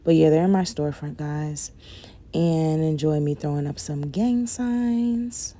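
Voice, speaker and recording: A young woman talks through her nail work in a loose, rambling way, sprinkling in "like" and "guys." Her voice sits low and mellow. It carries a slight rasp from a cold. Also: unhurried. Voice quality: raspy. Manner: rambling, says "like" and "guys" a lot. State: raspy from a cold. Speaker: nail vlogger. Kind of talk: casual chatty tutorial voice-over.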